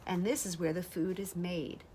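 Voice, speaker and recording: A woman in her thirties reading a rhyming children's picture book aloud in a deliberate, expressive way, pausing to explain. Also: lowish voice, a little hiss or hum. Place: small sunroom with large windows, indoors.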